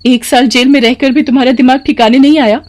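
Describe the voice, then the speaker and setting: Woman voicing frightened, high-pitched village girl. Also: fairly even intonation, fast, a little mellow, close to an everyday voice. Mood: startled, indignant. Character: frightened young village daughter, indignant and tearful.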